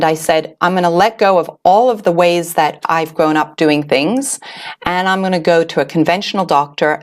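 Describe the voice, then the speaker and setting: Woman, middle-aged, from Switzerland, earnest, reflective, fairly low voice, personal story. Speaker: wellness author and host. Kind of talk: personal story told to an audience.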